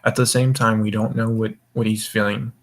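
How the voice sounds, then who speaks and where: A man's voice: lowish voice, little pitch movement, moderate pace. A drunk young man hosting a casual group chat, rambling and swearing as he goes. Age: young adult. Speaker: voice call host.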